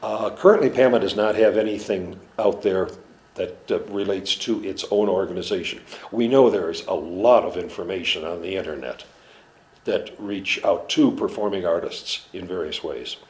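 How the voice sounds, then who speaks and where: A man who treats musicians and dancers, giving a measured, earnest, halting explanation in an interview. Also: low voice. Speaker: clinician in performing arts medicine.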